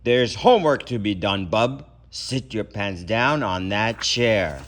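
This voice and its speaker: A man's voice: on the low side, lively intonation, takes it slowly, fairly bright tone. Guy doing a bossy tough hunch from a kids' book, stern, snappy, drags the words out. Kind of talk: children's storybook read-aloud.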